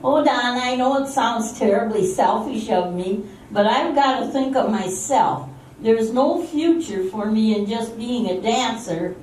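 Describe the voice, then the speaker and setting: An amateur actress playing an ambitious, self-centred dancer with a coaxing, dramatic manner in a radio drama. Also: female voice, on the high side, a little slow, darkish tone, natural voice. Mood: defiant, apologetic. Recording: very echoey hall, distant mic, faint noise.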